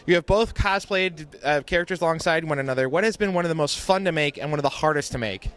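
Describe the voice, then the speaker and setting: A man interviewing cosplayers at a fan convention, cheerful and quick, moving between questions with easy transitions. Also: a little high, a bit bright, smooth.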